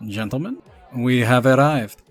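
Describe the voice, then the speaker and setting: A man's voice: mid-range voice, expressive, measured pace, soft background music, a faint crowd. A man playing a scheming, money-minded Soviet weapons scientist in a comic dub.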